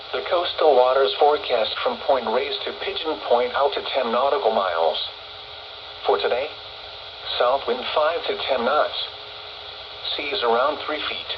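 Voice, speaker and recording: A man's voice: mid-range voice, muffled recording. Synthetic male weather announcer over noisy radio. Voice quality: synthetic text-to-speech voice. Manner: formal, measured, impersonal announcing. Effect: radio transmission. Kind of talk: scripted weather and marine forecast broadcast.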